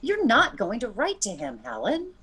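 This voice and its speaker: Woman acting a bossy, high, animated sister. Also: slightly rough, exaggerated voice. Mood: exasperated, scolding. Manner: brisk, exasperated, scolding. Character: bossy, practical, disapproving married younger sister. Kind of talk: old-time radio drama acting.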